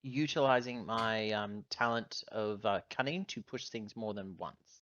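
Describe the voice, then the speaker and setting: A man playing a tabletop game, chatting casually and bluntly, thinking his moves through out loud. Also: on the low side, unhurried, lo-fi sound, very noisy. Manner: blunt, expressive, thinking aloud. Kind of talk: casual gaming chat.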